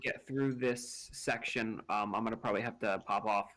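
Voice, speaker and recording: A man thinking aloud on a game stream, pausing with fillers and restarts as he reasons. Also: lowish voice, steady tone, a bit bright. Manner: thoughtful, hesitant with fillers and restarts. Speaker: gamer on a group stream. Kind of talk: casual discussion.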